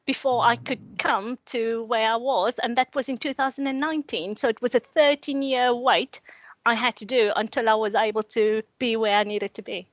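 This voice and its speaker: A woman's voice: fairly high pitch, fairly even intonation, moderate pace, no echo, highs cut off. A middle-aged woman with a South African accent, speaking earnestly and reflectively as a panelist, often restarting her sentences. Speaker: panelist, lecturer. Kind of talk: panel discussion on video call.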